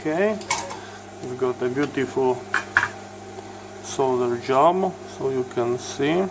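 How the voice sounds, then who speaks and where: Male repair-video host, halting and unhurried step-by-step delivery, mid pitch, steady background noise. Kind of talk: hands-on tutorial commentary.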